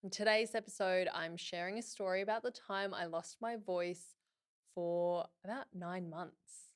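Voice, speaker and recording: Woman podcast host in her 30s, slightly low voice, candid personal storytelling. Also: steady tone, unhurried, smooth. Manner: chatty, candid, self-deprecating.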